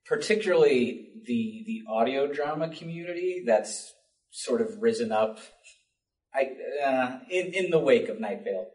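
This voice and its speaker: A man talks on a panel in an echoey room, some way from the microphone. He has a mid-pitched voice and speaks haltingly, feeling for each phrase as he goes. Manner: halting, searching for words. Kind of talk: unscripted panel talk. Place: panel at a public library, indoors. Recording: roomy, distant library event recording.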